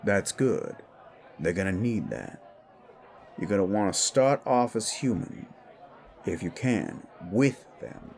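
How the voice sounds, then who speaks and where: A man's voice: fairly low pitch, expressive, slow, gravelly, darkish tone, a faint crowd, full character voice. A man voicing an older, patient, experienced werewolf mentor who explains things frankly in an audio drama. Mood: patient, instructive. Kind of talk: scripted audio drama dialogue.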